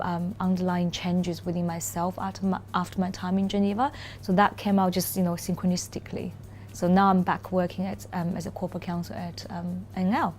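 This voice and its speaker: A woman in her thirties from China, a Mandarin native speaking English with a Chinese accent, answering interview questions thoughtfully, with frequent "um" pauses and restarts. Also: on the low side, a little mellow, a little hiss or hum. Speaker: corporate lawyer, interview guest. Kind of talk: relaxed interview conversation.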